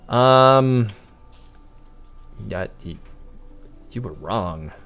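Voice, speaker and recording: A man's voice: lowish voice, lively intonation, drawn-out words, dull audio, faint music behind. A man giving casual livestream commentary as he plays a game, musing aloud.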